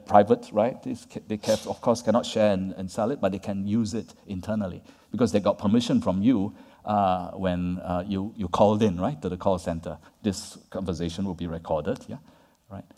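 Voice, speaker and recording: Lively man lecturing in a reverberant hall. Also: sits low, brisk. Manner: conversational, tags points with "right?" and "yeah?". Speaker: conference speaker. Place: conference hall. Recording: long reverb of a large hall.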